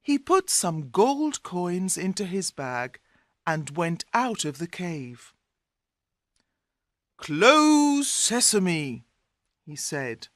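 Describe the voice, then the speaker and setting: A woman's voice: lowish voice, no echo, clean studio sound. A woman narrating a scripted fairy tale for language learners, in careful, separated phrases. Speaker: storyteller.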